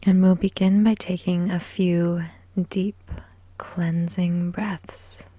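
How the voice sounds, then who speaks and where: A woman guiding a sleep hypnosis in ASMR style, soft, breathy and whispery, soothing and measured, with long pauses between short phrases. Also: lowish voice, flat delivery. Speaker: hypnosis and meditation guide.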